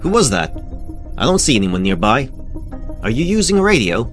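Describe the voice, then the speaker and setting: An actor playing a polite, puzzled visiting doctor asking questions in an audio drama. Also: male voice, medium pitch, big pitch swings, brisk, over music, close to an everyday voice. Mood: confused, curious.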